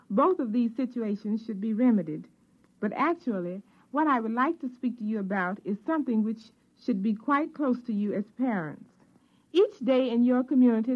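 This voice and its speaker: A woman's voice: a little high, highs cut off. Formal woman on thin old radio recording. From United States. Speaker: teacher giving a public appeal. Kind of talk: scripted public service message.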